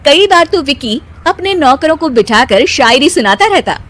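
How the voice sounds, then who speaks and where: A woman narrating a cartoon moral story in a clear, even storytelling voice. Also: high-pitched, normal speed.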